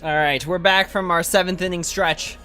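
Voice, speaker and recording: A man's voice: sits high, fairly even intonation, moderate pace, sharp tone, soft background music. A man streaming a video game, giving casual live commentary as he plays.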